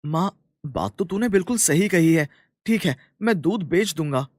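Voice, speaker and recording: A man's voice: on the high side, lively intonation, moderate pace, no room sound. A man playing an earnest, respectful young village farmer, a devoted son in a cartoon story. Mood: agreeable.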